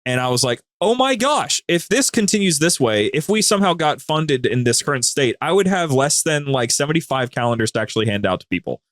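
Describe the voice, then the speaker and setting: A man's voice: a little high, very animated, fairly quick, few pauses, fairly bright tone, no echo, studio quality. A man chatting casually as a podcast guest, telling stories in a rambling, emphatic way. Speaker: podcast guest, co-host of a gaming media group. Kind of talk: casual chat, storytelling.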